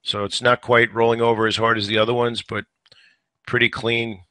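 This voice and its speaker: Hoarse man giving bright market commentary. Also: mid-range voice, flat delivery, moderate pace, no echo. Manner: conversational, repeats words when thinking. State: slightly hoarse. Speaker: stock trading show host.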